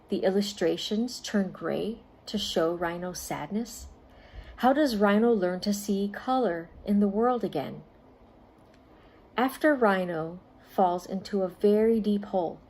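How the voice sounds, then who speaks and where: A woman reading aloud and talking directly to children as a storytime volunteer, in deliberate, carefully phrased speech with short pauses. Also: medium pitch, a little mellow.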